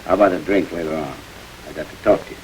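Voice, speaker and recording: Menacing gangster, played by a man, very deep voice, gruff, threatening, old radio play. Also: steady tone, rapid-fire, slight background noise, close to an everyday voice, rough recording. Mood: curt. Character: menacing male gangster robber, tough. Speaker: stickup man. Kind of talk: 1940s radio acting.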